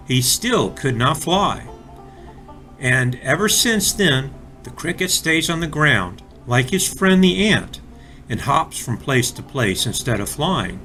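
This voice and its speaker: Male children's story reader, slightly high voice, very varied melody, expressive delivery to young listeners. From North Carolina, United States. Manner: deliberate, expressive, addressing young listeners directly. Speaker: storybook reader for children, school resource officer.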